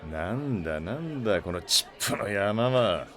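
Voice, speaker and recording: A male actor playing a gruff, blustery middle-aged starship captain in a Japanese audio drama, with rough, brusque, slangy speech. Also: fairly low pitch, lively intonation, unhurried, a bit bright. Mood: teasing, scolding. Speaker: ship captain.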